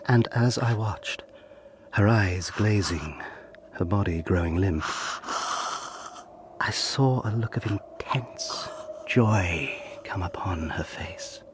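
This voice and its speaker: An earnest young lawyer of the eighteenth century, with a lowish voice. He tells his haunted story in a grave, intense audio drama style. There is clear noise behind him. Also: slow. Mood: solemn, reverent. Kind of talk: dramatic first-person audio drama narration.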